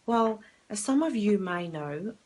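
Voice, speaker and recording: A woman's voice: medium pitch, expressive, a little slow, a little mellow. A woman, a coach talking straight to camera in a self-help video, earnest and direct, putting questions to herself and answering them. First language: Afrikaans.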